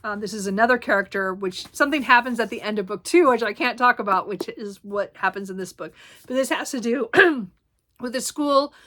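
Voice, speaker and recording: A woman chatting casually about her new books, rambling with many ums and self-corrections, a little hoarse and clearing her throat. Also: fairly high pitch, brisk, fairly bright tone. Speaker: book vlogger. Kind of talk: casual book haul chat.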